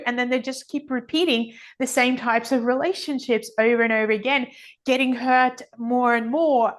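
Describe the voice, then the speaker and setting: A woman with a fairly high voice talks as a guest in a conversational interview. She explains things at length and leans on key phrases, earnest and emphatic. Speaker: podcast guest, author and energy healer.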